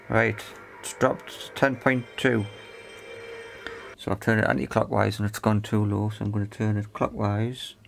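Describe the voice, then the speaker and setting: A man's voice: lowish voice, vocal fry, slight background noise. A man giving hands-on repair commentary, thinking aloud in a tentative way as he works. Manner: tentative, talks to himself while working. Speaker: repair video presenter.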